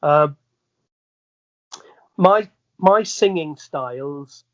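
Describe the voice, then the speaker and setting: Welsh guy, middle aged, highish voice, chatty entertainer telling stories, bit muffled audio. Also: expressive, extremely slow, no room sound. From Wales. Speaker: entertainer, interview guest. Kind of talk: casual anecdotal chat.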